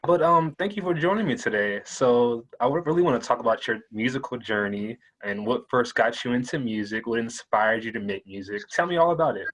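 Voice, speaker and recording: A man hosting an interview show, opening with upbeat, loud introductions and then asking questions in an easy conversational way. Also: mid-range voice, lively intonation, a little fast, hardly any pauses, low-quality recording.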